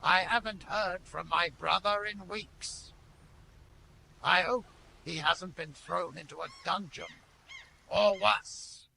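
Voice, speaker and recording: A gravelly, worried townsman. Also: sits high, steady tone, slow, pushed and bright, slight background noise, heavily acted, rough recording. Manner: worried, wary. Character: anxious eldest man among scruffy townsfolk. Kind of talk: audio game character acting.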